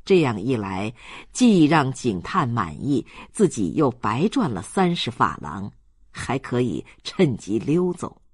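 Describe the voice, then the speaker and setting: An audiobook narrator reading a novel in a measured, expressive storytelling style. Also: medium pitch, breathy edge, no room sound, studio quality. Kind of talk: scripted novel narration, slow dramatic storytelling.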